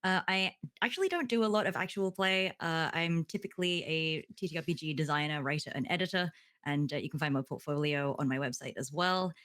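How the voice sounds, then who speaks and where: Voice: medium pitch, brisk, a bit bright, no room sound, studio quality. A guest player and game designer giving a casual, polite, matter-of-fact sign-off.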